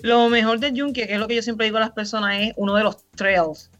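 A woman's voice: a little high, a little fast. A Puerto Rican woman in her thirties, a podcast guest chatting casually and at length, with many fillers. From Puerto Rico. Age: 30s. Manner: chatty and relaxed, rambling with fillers. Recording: slightly muffled, faint music behind.

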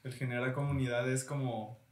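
A man's voice: mid-range voice, steady tone, brisk, a little hiss or hum. A man hosting a podcast, who talks in a friendly, conversational way with casual fillers. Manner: friendly, conversational, uses fillers like "pues" and "este". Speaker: podcast host. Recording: roomy, echoey room.

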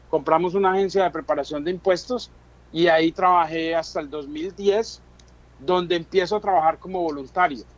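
A man's voice: high-pitched, dull audio. A middle-aged man with a Colombian accent, telling his own story as an interview guest in conversational Spanish. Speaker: interview guest, business chamber chairman.

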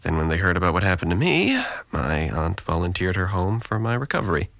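Actor as a deep, gravelly, weary young man. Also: big pitch swings, fairly quick, a bit bright, no echo, full character voice. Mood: wry, reflective. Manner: dry, weary, sardonic. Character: depressed young man in therapy, guarded, wry, self-deprecating. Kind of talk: audio drama acting.

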